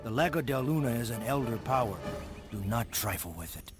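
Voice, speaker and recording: An actor playing a grave, foreboding Inca prisoner in a game cutscene. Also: male voice, mid-range voice, animated, a little fast, music in the background, lightly acted. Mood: ominous warning. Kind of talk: video game cutscene acting.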